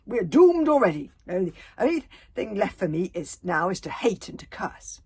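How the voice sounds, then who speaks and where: A woman reading a storybook aloud, voicing a furious, doom-filled old grandmother who rasps, spits and shouts curses. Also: medium pitch, big pitch swings, normal speed, slight background noise. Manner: loud, spitting, cursing shouts.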